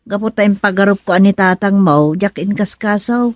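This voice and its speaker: A woman's voice: mid-range voice, steady tone, moderate pace, dry recording, studio quality, natural voice. A woman playing a worried, superstitious village wife and mother with an Ilocano Filipino accent, fretful and exclamatory in radio comedy-drama style. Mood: fretful, insistent.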